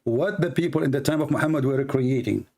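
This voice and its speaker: A man's voice: mid-range voice, fast, vocal fry, warm tone. Combative male debate host. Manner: combative, interrupting, mocking. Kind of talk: heated live call-in debate.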